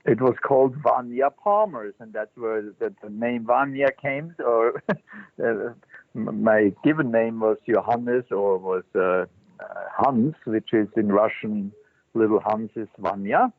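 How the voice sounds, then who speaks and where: An older man, a Zen teacher telling his life story as an interview guest, halting and thoughtful with many restarts. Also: on the low side, dry recording. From Switzerland. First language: German. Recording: dry, tinny phone-line sound.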